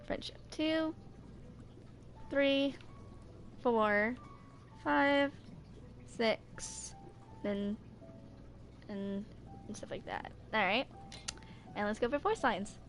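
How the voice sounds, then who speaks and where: A woman's voice: a little high, drawn-out words, long pauses, faint music behind. A woman in her twenties, casually rambling through a gaming video and reading game text aloud. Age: 20s.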